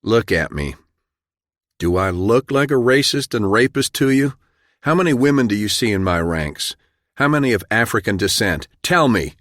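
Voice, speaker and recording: Stern colonel, acted by a male reader: deep, forceful, used to giving orders, tired but decent, audiobook war story. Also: normal speed, no echo, clean studio sound. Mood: stern, impassioned. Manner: commanding, clipped, forceful. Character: stern, battle-weary male ex-army colonel, commanding but principled.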